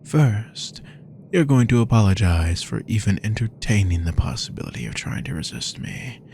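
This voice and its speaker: Man doing a starved obsessive vampire, low gravelly voice, slow drawn-out whispers, close mic. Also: very animated, a little mellow, slight background noise. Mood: menacing. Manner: menacing, possessive, drawn-out delivery. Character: possessive powerful male vampire, starved and obsessive. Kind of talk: roleplay audio drama.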